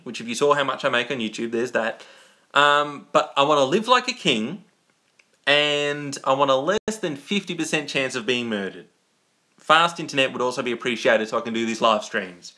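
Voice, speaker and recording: Livestream host, male, Australian accent. Bright, forward tone; casual, jokey rambling with frequent self-interruption. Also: mid-range voice.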